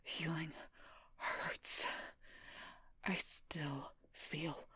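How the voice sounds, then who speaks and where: A wounded, guarded female werewolf knight acted by a woman in an intimate audio roleplay. Her low voice is very husky and breathy, often sinking to a whisper. She speaks slowly and haltingly, straining through pain, and it all sounds close and dry. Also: flat delivery, bright, forceful tone, no echo, full character voice. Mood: pained, strained.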